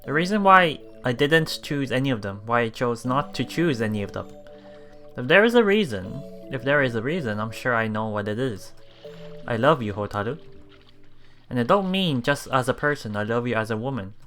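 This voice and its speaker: Bright, expressive woman streamer, lower-voiced. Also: moderate pace, faint music behind. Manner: giggly, excitable, expressive. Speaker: let's play streamer. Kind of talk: casual reading and reacting to a visual novel.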